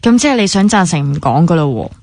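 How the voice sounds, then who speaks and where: Radio drama confidante: sceptical young woman, Hong Kong Cantonese, lowish pitch, dry close sound, plain-spoken and blunt. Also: big pitch swings, fast talker, darkish tone, no echo. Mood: questioning. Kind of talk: naturalistic radio drama dialogue.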